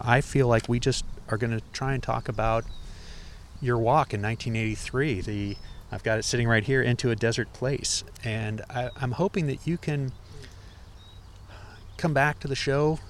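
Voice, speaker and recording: A man's voice: medium pitch, normal speed, slight background noise. A man, hosting an interview and asking eager, stumbling questions. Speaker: podcast host. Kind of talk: conversational interview.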